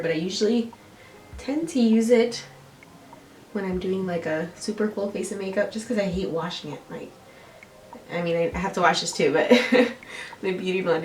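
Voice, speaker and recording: A young American woman with a congested, nasal voice, chatting casually and self-deprecatingly through a makeup tutorial as a beauty vlogger. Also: fairly low pitch. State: congested from allergies. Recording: roomy echo, distant mic, faint music. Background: music.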